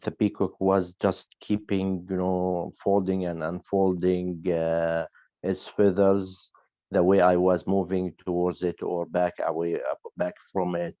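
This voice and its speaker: A man speaking hesitantly on an online panel as a photographer, with many filled pauses. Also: sits low, little pitch movement, no echo. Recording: dry, dull, lo-fi sound.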